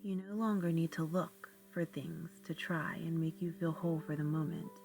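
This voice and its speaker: A woman guiding a relaxation meditation, speaking in a slow, soothing, soft-spoken way. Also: low voice, fairly even intonation, vocal fry, soft background music. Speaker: meditation guide. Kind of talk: guided meditation narration.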